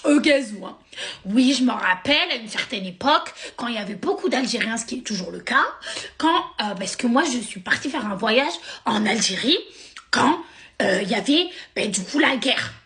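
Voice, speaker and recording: A young woman from France telling a sarcastic, animated story straight to her viewers. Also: a little high, normal speed. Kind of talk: casual storytime monologue.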